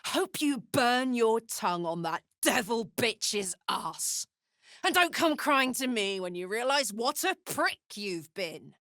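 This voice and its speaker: A woman's voice: fairly high pitch, big pitch swings, slow pace, fairly bright tone, dry recording, heavily acted. A woman voicing a brash, fiery horned warrior woman in a video game, talking bluntly and informally like a soldier, recorded dry. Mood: menacing. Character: brash, fiery female devil-horned warrior with an infernal engine heart. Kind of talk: video game character voice lines.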